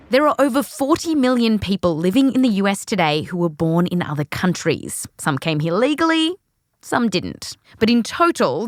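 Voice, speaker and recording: A woman's voice: medium pitch, no echo. An Australian woman hosting a science podcast in a scripted narration with playful, jokey asides. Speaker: podcast host.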